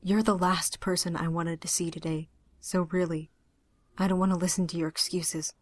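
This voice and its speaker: A woman's voice: lowish voice, steady tone, moderate pace, no echo, lightly acted. A woman voicing a guarded teenager in an audio drama, casual and curt with a sarcastic edge. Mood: bitter, curt.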